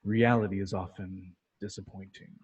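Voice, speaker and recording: A man playing a calm, menacing alien tyrant in a table read, with a deep, gravelly voice and a slow, fatherly condescension. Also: highs cut off. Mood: calm menace. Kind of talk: amateur table read of a film script.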